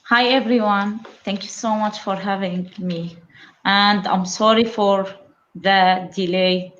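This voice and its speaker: Slow-speaking woman on a muffled call. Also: mid-range voice, fairly even intonation, fairly bright tone. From Syria. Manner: slow, careful, apologetic opening. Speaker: guest speaker. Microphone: video call. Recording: muffled video call audio.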